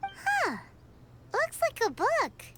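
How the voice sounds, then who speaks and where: A woman voicing a small childlike fairy companion in an anime game, squeaky, chirpy and bossy, with music behind her. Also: huge pitch swings, drawn-out words, bright, forceful tone, exaggerated voice. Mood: curious.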